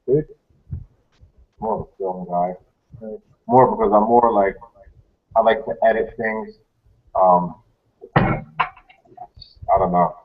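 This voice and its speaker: A man in his thirties speaks as a guest on a casual panel, hedging and trailing off as he thinks aloud. His voice is low and husky. It comes through a tinny, phone-like channel. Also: lively intonation, slow, pauses often. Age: 30s.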